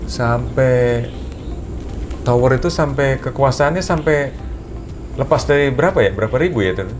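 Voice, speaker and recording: A man hosting a casual, animated chat, speaking colloquially and mixing in English aviation terms. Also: mid-range voice, normal speed. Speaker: host, a pilot. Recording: music playing behind the talk. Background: background music.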